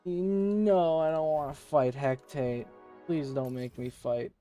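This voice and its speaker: A man's voice: fairly high pitch, fairly even intonation, takes it slowly, mellow. A man giving casual gameplay commentary as a streamer, half talking to himself and half chatting to viewers. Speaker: game streamer. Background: game music.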